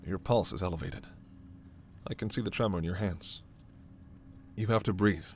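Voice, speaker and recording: A man playing a formal alien scientist in a sci-fi ASMR roleplay, stern and authoritative yet reassuring, with measured, precise diction. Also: sits low, a little hiss or hum, lightly acted. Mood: measured explaining. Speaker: voice actor in audio roleplay.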